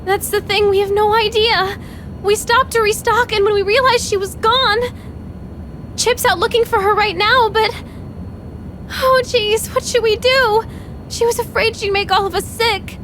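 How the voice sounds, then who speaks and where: Very high-pitched anxious girl. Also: fairly even intonation, measured pace, fairly bright tone, a little hiss or hum. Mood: worried. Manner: girlish, plaintive. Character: anxious, emotional teenage girl, anime game heroine. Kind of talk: video game voice acting in anime dub manner.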